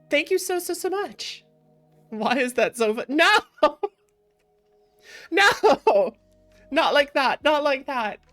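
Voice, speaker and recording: A woman hosting a livestream, chatting casually and playfully with viewers in an expressive way over background music. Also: high voice. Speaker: streamer, variety VTuber and host.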